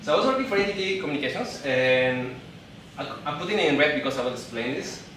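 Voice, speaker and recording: A man from Peru in his thirties, speaking English with a Peruvian Spanish accent, gives a live talk to an audience. He speaks haltingly, often repeating and restarting words. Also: fairly high pitch, expressive, fairly bright tone, heavy reverb, distant mic, slight background noise, poor audio. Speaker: event speaker. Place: event hall.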